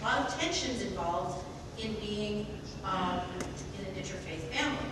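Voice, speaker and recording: Loud woman lecturing in an echoey hall. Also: a little high. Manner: conversational, with frequent restarts and fillers. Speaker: guest lecturer, website editor. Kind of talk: informal public lecture. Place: college lecture room. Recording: echoey hall recorded from far off, noisy.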